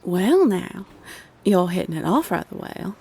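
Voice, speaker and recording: A woman's voice: fairly low pitch, sing-song, unhurried, vocal fry, darkish tone, slightly rough, heavily acted. A woman voicing a teasing invisible female spirit, a playful, sly voice in the head, in a roleplay audio drama.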